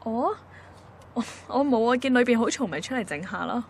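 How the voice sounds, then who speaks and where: A woman's voice: a little high, animated, a little fast, slight background noise. A woman playing a wistful, introspective young working woman in a radio drama, with a Hong Kong Cantonese accent. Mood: shy, curious. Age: young adult. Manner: introspective, musing. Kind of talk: radio drama first-person monologue. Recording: clear music bed behind voice.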